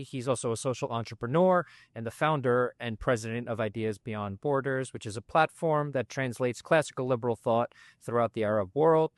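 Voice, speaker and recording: A man hosting a podcast over a video call, speaking at a middle pitch in a dry, close sound. He talks in a measured, courteous way that sometimes halts and hesitates. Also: a bit bright, no room sound. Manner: measured, courteous, slightly halting. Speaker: podcast host. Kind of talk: interview introduction and questions.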